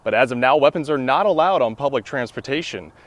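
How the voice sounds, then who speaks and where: A man's voice: medium pitch, animated, fast. A man in his twenties with an American accent, delivering scripted reports as a television news reporter.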